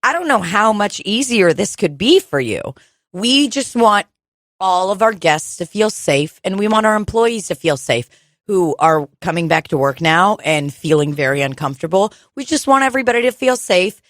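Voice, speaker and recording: A woman's voice: mid-range voice, animated, moderate pace, dry recording, studio quality, close to an everyday voice. A woman co-host playing an exasperated retail clerk with forced politeness and sarcasm in an improv comedy sketch recorded in a dining room. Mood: forced-polite. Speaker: podcast co-host.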